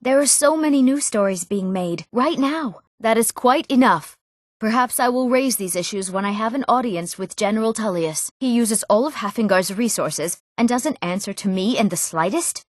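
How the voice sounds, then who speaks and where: A woman acting an eager, earnest young woman in a fantasy video game, speaking her lines clearly and a little theatrically. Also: on the high side, lively intonation, normal speed, no echo, studio quality. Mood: shy, youthful. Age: young adult. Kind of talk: scripted fantasy game dialogue.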